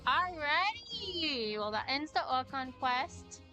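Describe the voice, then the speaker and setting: A woman game streamer with a somewhat high voice chats casually and reacts as she plays. She thinks aloud in an informal way, with game music clearly behind her. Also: sing-song, slow pace, bright, forceful tone. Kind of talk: casual live gameplay commentary.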